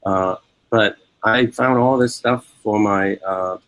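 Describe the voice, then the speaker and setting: A man in his fifties with a Hong Kong accent speaks in a fairly low voice. He answers interview questions in a measured, explanatory way, working out his thoughts aloud. The sound is close and dry. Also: fairly even intonation, a bit bright, dry recording, poor audio. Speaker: academic interviewee.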